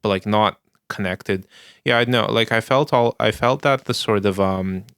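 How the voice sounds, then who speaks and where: A young man from Canada chatting casually on a podcast, hesitant and full of fillers, with a monotone delivery. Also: fairly low pitch, normal speed, clear, resonant tone, dry recording, clean studio sound. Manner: hesitant, filler-heavy, self-described monotone. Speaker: podcast co-host. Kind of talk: casual chat.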